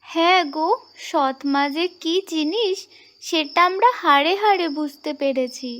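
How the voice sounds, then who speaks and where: A woman voicing a gentle, dutiful young married village woman in a cartoon folk tale, girlish and earnest. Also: extremely high pitch, a little slow. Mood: anxious.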